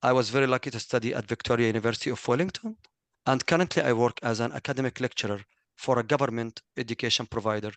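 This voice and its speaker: A man's voice: mid-range voice, quick pace, fairly bright tone, dry recording. A middle-aged man from Egypt with a thick Egyptian Arabic accent, giving an earnest testimonial in a webinar, full of fillers and self-corrections. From Egypt, raised in Kuwait. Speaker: former student speaker.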